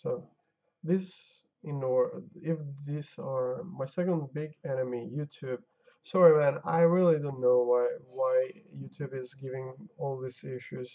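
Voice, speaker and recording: A tired game-dev streamer, his Albanian, drifts between mumbling over his code and chatting with viewers. His voice is gravelly and unhurried. The stream audio is dull and lo-fi. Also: medium pitch, fairly even intonation. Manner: muttering to himself while testing, talking to chat. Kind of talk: casual live-stream chat while coding.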